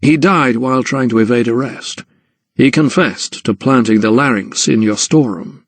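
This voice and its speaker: A man's voice: mid-range voice, moderate pace, no echo, natural voice. A man voicing an earnest, polite young doctor, formal in speech and easily shaken, in an audiobook. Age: young adult.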